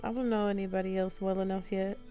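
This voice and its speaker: A woman doing casual live gameplay commentary, reacting in an expressive, playful way. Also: mid-range voice, fast talker, loud music behind the voice. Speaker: streamer playing and reacting to a game.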